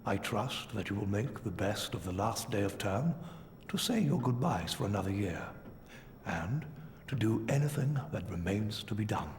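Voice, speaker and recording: A man playing a wise, kindly old wizard headmaster with a measured, formal manner in a video game. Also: on the low side, expressive, slight background noise. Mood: measured, ceremonious. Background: music.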